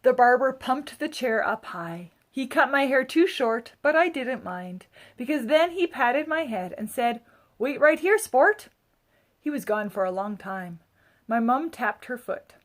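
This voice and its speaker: A woman's voice: on the high side, expressive, unhurried. A woman reading a children's story aloud with expression. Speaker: storybook reader.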